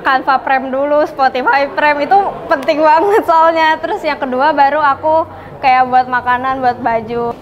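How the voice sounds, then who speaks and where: An eighteen-year-old woman answers casually, peppering her talk with fillers and English words. Her voice is high, and a crowd chatters in the background. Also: lo-fi sound. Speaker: student interviewee. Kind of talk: casual street interview answers.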